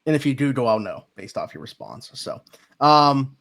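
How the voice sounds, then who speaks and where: A man with a Pittsburgh accent co-hosts a sports podcast. His voice sits at a middle pitch, and he talks in an informal, chatty style. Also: big pitch swings, normal speed, creaky, fairly bright tone. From Pittsburgh area, United States. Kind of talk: casual sports podcast chat.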